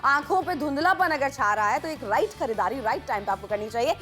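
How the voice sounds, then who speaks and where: A woman's voice: sits high, expressive, fast, over music. A woman co-presenting on a home shopping channel, emphatic and eager as she sells. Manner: eager, emphatic selling with exclamations. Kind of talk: live TV sales pitch. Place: TV shopping studio.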